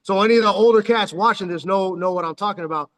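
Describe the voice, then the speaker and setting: A man's voice: sits high, fast talker, fairly bright tone, long reverb tail. A man in his forties, born in Texas and raised in San Diego, telling his story as a guest in a loose, rambling way, full of street slang and "dog.". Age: 40s. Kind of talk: casual storytelling interview.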